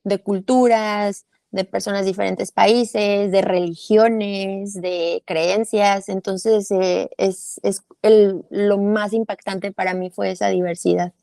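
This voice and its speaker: A woman's voice: mid-range voice, steady tone, takes it slowly, vocal fry, hardly any pauses, warm tone, dry recording. Mexican woman chatting as interview guest. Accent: Mexican Spanish. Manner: thoughtful, many fillers and restarts. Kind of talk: casual interview.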